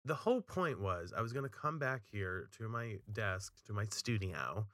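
A man's voice: on the low side, animated, moderate pace, a bit bright, no room sound. A man co-hosting a podcast from his home studio, telling stories in casual chat with dramatic mock outrage. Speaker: podcast co-host.

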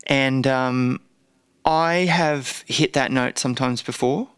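A man's voice: medium pitch, fairly even intonation, takes it slowly, fairly bright tone, no room sound, clean studio sound. A man co-hosting a casual chat, talking with gushing enthusiasm. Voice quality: says he has a croaky voice. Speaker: podcast co-host.

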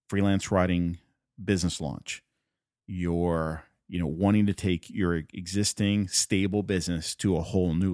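Man, native Spanish speaker, low voice, dry close sound, earnest motivational podcast host. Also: a little mellow, no echo. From Puerto Rico. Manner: earnest, emphatic, repeats points for stress. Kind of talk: motivational solo podcast talk.

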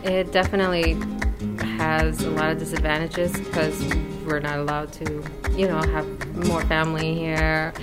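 A woman being interviewed who answers in a relaxed, casual way. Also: deep voice, normal speed, loud music behind the voice.

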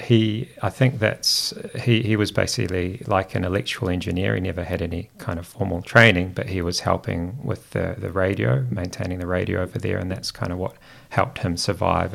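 A middle-aged man from New Zealand with a Kiwi accent, raised speaking Greek, chatting reflectively and haltingly as an interview guest. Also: low-pitched, gravelly. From Christchurch, New Zealand. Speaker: podcast guest, founder. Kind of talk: casual reflective interview chat.

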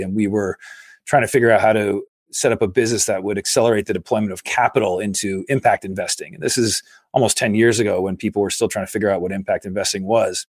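A man in his forties from Massachusetts, a guest explaining his work in a steady, measured way during an interview. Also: on the low side, no echo. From Massachusetts, USA. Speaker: guest, infrastructure investor. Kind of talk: conversational interview.

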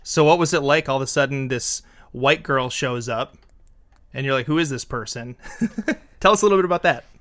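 A man interviewing guests in a relaxed, casual way, laughing along with them. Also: mid-range voice, brisk.

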